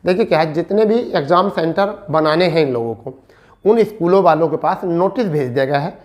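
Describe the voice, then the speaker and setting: A man's voice: on the high side, expressive, brisk. Man teaching, repeating key points. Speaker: teacher giving exam updates to students. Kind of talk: informal online class, addressing students.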